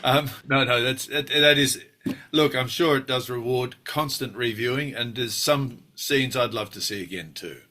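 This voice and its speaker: Older Australian actor, sixties, bright and forceful tone, wry storyteller who hesitates and restarts. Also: male voice, mid-range voice, normal speed. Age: 60s. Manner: wry, self-deprecating, with many fillers and restarts. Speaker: actor guest. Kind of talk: relaxed interview reminiscing.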